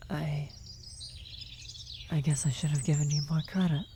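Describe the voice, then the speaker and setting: A woman acts out a worried female vampire in a medieval fantasy ASMR roleplay. Her low voice is soft and mellow, often slipping into a whisper. She speaks haltingly and gently, with faint birdsong and nature ambience behind her. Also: flat delivery, slight background noise, close to an everyday voice. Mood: surprised, uneasy. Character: worried female vampire blood sorcerer, tender, guilty lover. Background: birdsong, nature ambience effects.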